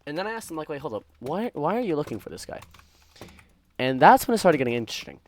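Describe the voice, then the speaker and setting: A man's voice: fairly high pitch, sing-song, fast talker. A young man casually telling stories while gaming, rambling and interrupting himself in a distracted way. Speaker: gaming content creator. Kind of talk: casual storytelling while playing a game. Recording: faint keyboard typing and clicking noise. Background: computer keyboard typing and clicks.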